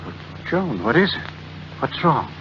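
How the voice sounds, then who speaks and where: Caring older family friend, old radio drama, expressive voice, courteous, hissy muffled sound. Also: male voice, a little high, unhurried. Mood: concerned. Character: solicitous older male family friend, calm and protective. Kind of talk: 1940s radio drama acting.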